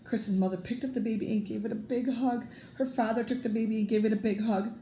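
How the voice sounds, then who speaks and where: A woman reading a children's picture book aloud as a storyteller, deliberate and expressive. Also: on the high side. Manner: deliberate, emphatic, with sound effects. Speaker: read-aloud teacher, storyteller. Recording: roomy echo, faint background noise.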